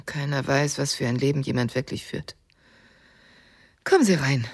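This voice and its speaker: An actress playing a stern, composed widow in her forties with a husky, breathy voice in a radio drama. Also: a bass voice, normal speed, exaggerated voice. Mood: subdued, composed. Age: 40s. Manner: composed, sober, restrained. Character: stern, composed middle-aged widow and mother-in-law.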